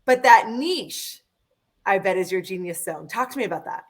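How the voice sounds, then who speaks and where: A woman's voice: mid-range voice, expressive, normal speed. A woman hosting a podcast, introducing her guest with effusive enthusiasm. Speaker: podcast host. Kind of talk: upbeat interview hosting.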